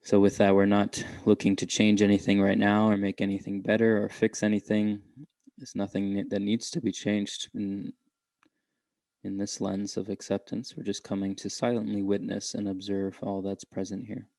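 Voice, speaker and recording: Very even, low-voiced male meditation guide. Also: no echo. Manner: gentle, unhurried, with long pauses between short phrases.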